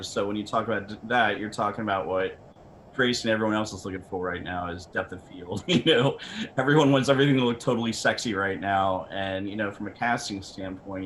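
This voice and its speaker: A man's voice: on the low side, a bit bright. A man speaking casually as a guest expert on a panel, conversational and rambling from one thought to the next. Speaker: camera company representative, guest expert. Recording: faint background noise.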